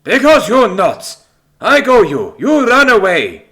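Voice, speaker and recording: Man playing a gruff, suspicious Greek soldier, wildly swinging pitch. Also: sing-song, pushed and bright, full character voice. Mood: bitter, distrustful. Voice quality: croaky from disuse. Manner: halting broken English. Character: wary, haunted stranded male major, gruff and suspicious.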